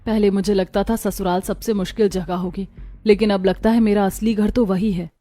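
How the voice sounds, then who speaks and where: Woman doing a young daughter-in-law in a cartoon story, wounded and guarded, mellow mid voice. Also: medium pitch, steady tone, normal speed, lightly acted. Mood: sad, fearful. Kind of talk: Hindi cartoon story acting.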